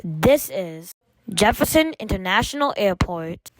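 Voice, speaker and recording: A woman delivers scripted transit announcements in a slow, measured way. Her phrasing is formulaic and segmented, like an automated train announcer. The sound is dry and close, with a mid-range pitch. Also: lively intonation, dry recording.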